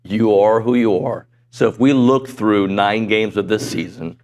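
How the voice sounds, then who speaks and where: A middle-aged man hosting a sports talk show in a studio, chatty and self-deprecating, his voice a little hoarse. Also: on the low side, fairly even intonation, unhurried, a little mellow. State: voice going hoarse. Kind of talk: casual sports talk chat. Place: broadcast studio.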